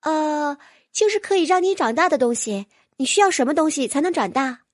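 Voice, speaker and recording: A woman voicing a friendly, gentle, coaxing teenage girl in an audiobook. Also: high voice, lively intonation, fairly quick, no room sound, clean studio sound. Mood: hesitant. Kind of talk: audiobook character voice.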